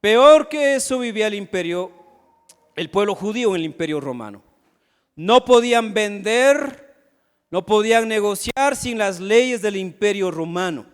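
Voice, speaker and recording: A man's voice: sits high, animated, measured pace, smooth. A man from Ecuador, preaching a sermon as pastor and firing rhetorical questions at the congregation. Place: church sanctuary. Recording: long hall reverb.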